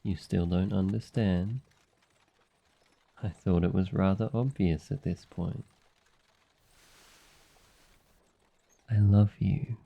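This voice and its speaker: A man playing a devoted, jealous young demon familiar in love, speaking softly and intimately in an ASMR boyfriend roleplay. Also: low-pitched, vocal fry, muffled recording, heavily acted. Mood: tender, romantic.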